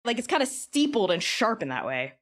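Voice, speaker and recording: A woman's voice: a little high, very animated, brisk, a bit bright. A woman guest on a podcast, explaining her opinions in casual chat peppered with "like" and "kind of".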